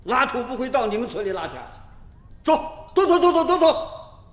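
A bossy village head in a radio play, speaking in a brusque, mocking tone. His voice is high and lively, with an echoey sound around it. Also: moderate pace, exaggerated voice.

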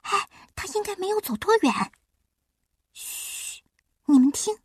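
A woman voicing eager young chicks in a cartoon dub, talking in a bright, excited way. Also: very high voice, unhurried.

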